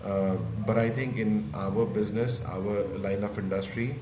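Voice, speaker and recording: A man's voice: lowish voice, fairly even intonation, measured pace. A man explaining his view as a panel guest. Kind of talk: panel discussion. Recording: echoey room with noise.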